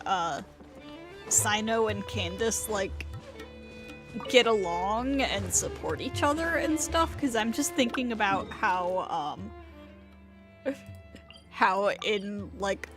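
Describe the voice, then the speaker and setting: A woman livestreamer giving casual, amused commentary over a game, pausing with hesitations as she thinks aloud. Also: medium pitch.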